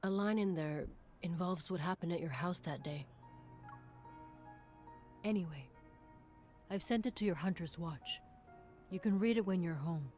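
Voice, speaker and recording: A woman voicing a serious, authoritative special-operations captain in a measured, matter-of-fact way. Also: fairly low pitch, darkish tone, soft background music, pitched below the performer's own voice, lightly acted. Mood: discreet, serious.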